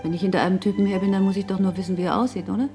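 A woman's voice: mid-range voice, steady tone, rapid-fire, mellow, faint music behind, lightly acted. An actress playing a self-assured, talkative woman with an ironic, pointed way of speaking in a naturalistic radio drama. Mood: wry, talkative. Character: self-assured, sardonic, independent older girlfriend of a runaway man.

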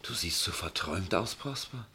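Husky carefree young army doctor. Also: male voice, sits low, animated, moderate pace, pushed and bright, full character voice. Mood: carefree, cajoling. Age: young adult. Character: carefree, opportunistic young army doctor, cajoling his friend. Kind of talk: German radio play acting.

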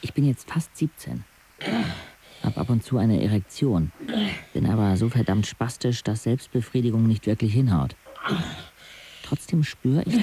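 A man's voice: medium pitch, warm tone, close to an everyday voice. Man playing frank, reflective teenage boy narrator, noisy backdrop. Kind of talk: radio drama first-person narration.

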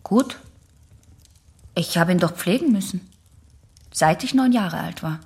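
Casting: defiant girl of eighteen, low husky voice, frayed with creak, guarded and terse, radio drama. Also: animated, a little slow, darkish tone, heavily acted. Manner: terse, sullen, skeptical. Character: guarded, defiant eighteen-year-old female patient. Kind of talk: German radio drama dialogue.

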